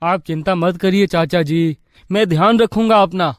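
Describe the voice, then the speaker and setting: A man playing an excitable, self-assured young villager in an acted horror-comedy story. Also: high voice, moderate pace, dry recording, studio quality, lightly acted. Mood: confident.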